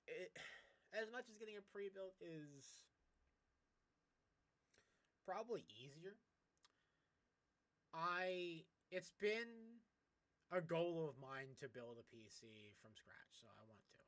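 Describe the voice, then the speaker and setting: A man's voice: on the high side, slow pace, long pauses, a bit bright. A man chatting casually as a game streamer, rambling and encouraging in an informal way. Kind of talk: casual livestream chat while gaming.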